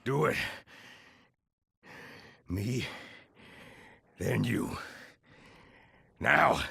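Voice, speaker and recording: An actor playing a tough, defiant soldier in a video game, gruff, gravelly and terse. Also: male voice, medium pitch, animated, extremely slow, bright, forceful tone, dry recording, full character voice. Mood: defiant, strained. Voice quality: raspy, gravelly. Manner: terse, gritty. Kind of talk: dramatic video game voice acting.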